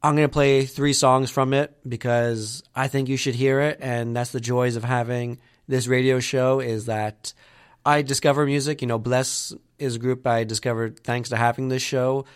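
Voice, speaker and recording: A man's voice: mid-range voice, little pitch movement, normal speed, clean tone. Nasal-sounding male radio host. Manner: rambling, with many fillers and restarts. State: has a cold, nasal. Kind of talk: community radio show patter.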